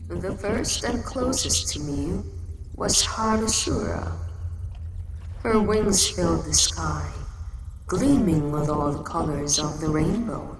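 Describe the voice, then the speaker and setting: Low, slow, echoing giant monster queen, acted by a woman. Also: full character voice. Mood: wistful, fond. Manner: measured, matter-of-fact, slightly formal speech. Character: giant female kaiju queen, ancient, regal, calm and protective. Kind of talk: scripted roleplay audio drama monologue. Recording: very echoey cavern-like reverb, loud background noise.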